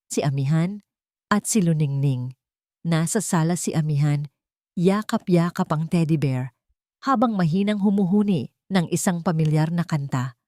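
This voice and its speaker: Low-voiced woman narrating a drama. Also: warm tone, no room sound, clean studio sound. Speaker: storyteller. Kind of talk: dramatic scripted narration of a love and family story.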